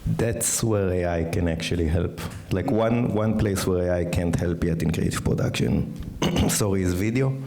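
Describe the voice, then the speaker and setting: Deep gravelly-voiced man on a panel. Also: warm tone, slight background noise. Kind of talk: conference panel discussion.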